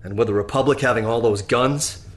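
An actor playing a weary, guarded leader in a post-apocalyptic audio drama, terse and brooding. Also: male voice, mid-range voice, brisk, natural voice. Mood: grim, brooding.

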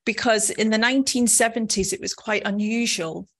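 A woman's voice: fairly high pitch, fairly even intonation, normal speed, slightly rough. A middle-aged woman from Scotland with a Scottish accent, giving a personal conference talk in a conversational, earnest manner. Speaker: nursing professor, conference speaker.

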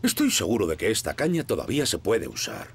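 A man's voice: lowish voice, wildly swooping pitch, fast, creaky, a little hiss or hum, exaggerated voice. A man playing a kindly, encouraging old grandfather in a Castilian Spanish children's cartoon, hearty and patient. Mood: cheerful.

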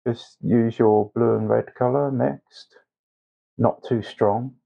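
A man narrating a painting tutorial step by step as a tutor, unhurried and with hesitant pauses. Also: medium pitch, steady tone, creaky, dull audio. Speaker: watercolour tutor.

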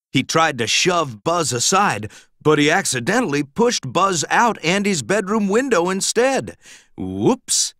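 A man reading a children's storybook aloud in an expressive, dramatic, emphatic storytelling manner. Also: fairly high pitch, dry recording, studio quality. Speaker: storybook narrator.

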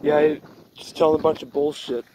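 A man's voice: fairly high pitch, fairly even intonation, a little fast. An American man chatting casually for an outdoor vlog, blunt, foul-mouthed and self-deprecating. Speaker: vlogger. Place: outdoors on a lakeshore. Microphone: handheld camera held by speaker. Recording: wind and outdoor noise, clicks.

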